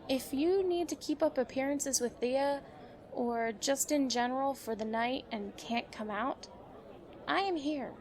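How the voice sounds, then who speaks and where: A woman's voice: sits high, unhurried, a little mellow, a little hiss or hum. A woman playing a sassy, supportive young vigilante partner, frank and teasing, in an audio drama.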